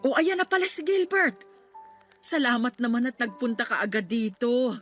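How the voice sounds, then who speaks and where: High-voiced pragmatic, bossy friend played by an actress. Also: female voice, moderate pace, a bit bright, soft background music, close to an everyday voice. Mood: concerned. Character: pragmatic, pleading, bossy loyal female friend. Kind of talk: Tagalog radio drama acting.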